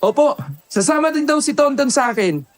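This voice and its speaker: A man's voice: very high-pitched, lively intonation, brisk, dry recording. A man playing a brave, respectful young farmhand and fighter in an audio horror drama, polite but resolute. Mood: eager.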